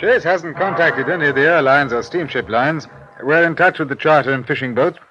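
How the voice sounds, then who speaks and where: An actor playing an affable, understated British colonial police inspector in 1950s radio drama style, with courteous clipped idioms. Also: male voice, fairly high pitch, a little fast, dull audio, music in the background, natural voice. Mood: businesslike. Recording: noisy old radio broadcast recording.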